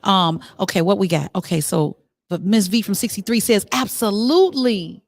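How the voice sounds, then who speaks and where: A middle-aged woman hosts a live show in a casual, conversational style. Her voice sits at an ordinary pitch and is recorded dry, with very little room echo. She is chatty and speaks straight to the people watching. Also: moderate pace, creaky. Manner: chatty, conversational, talks directly to viewers. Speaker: talk show host.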